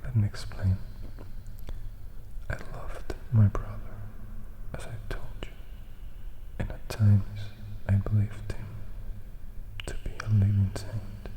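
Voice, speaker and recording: A man voicing a brooding, regretful vampire who recounts his past in a hushed, measured ASMR whisper. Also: lowish voice, level tone, poor audio. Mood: reflective, insistent. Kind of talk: whispered ASMR audiobook acting.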